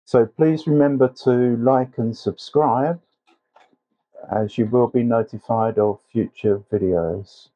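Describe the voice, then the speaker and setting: A man narrating a painting tutorial step by step as a tutor, unhurried and with hesitant pauses. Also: mid-range voice, mellow, highs cut off. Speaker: watercolour tutor.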